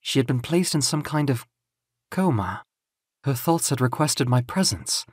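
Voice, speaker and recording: A man voicing a shaken young man haunted by nightmares, husky and dry in an audio comic. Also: medium pitch, lively intonation, measured pace, no echo. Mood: troubled.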